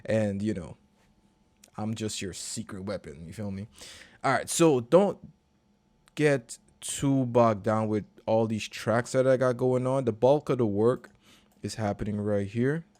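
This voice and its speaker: A man, a recording and mixing engineer, casually walking through a session in a relaxed, slangy, conversational way. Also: on the low side, a little slow, no room sound. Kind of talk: casual screen-share walkthrough.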